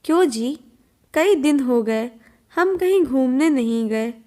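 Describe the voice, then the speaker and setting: A woman voicing an anxious, scolding young mother in a cartoon story, expressive and fretful. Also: high voice, slow. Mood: coaxing.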